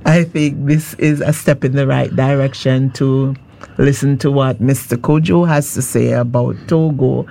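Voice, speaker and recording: Slow, mellow-voiced education speaker. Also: mid-range voice, steady tone, vocal fry. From Montserrat. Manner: measured, hesitant, repeats words. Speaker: education representative, panel guest.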